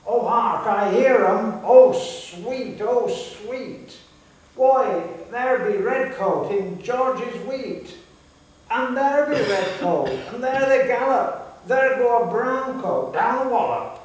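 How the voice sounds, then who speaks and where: A man voicing an excited, wistful old gardener, calling out in rustic exclamations. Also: extremely high pitch, slow pace, voice pushed up, exaggerated voice. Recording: distant, echoey hall, some hiss.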